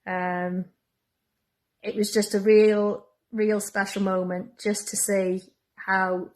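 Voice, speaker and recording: Slow-spoken, even-toned woman. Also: medium pitch. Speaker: pantomime company member. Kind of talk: casual reminiscing.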